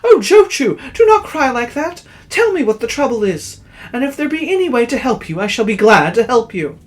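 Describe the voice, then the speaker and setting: A man's voice: extremely high pitch, sing-song, normal speed, pitched above the performer's own voice, full character voice. A man voicing a kindly old merchant, earnest and easily frightened, in a dramatic storybook reading. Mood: earnest pleading.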